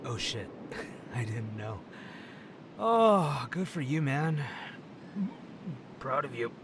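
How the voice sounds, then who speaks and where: A man's voice: sing-song, slow pace, far from the mic. A man playing a grumpy but affable hungover party animal in an audio drama, groggy and sluggish. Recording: noisy, off-mic with rumble.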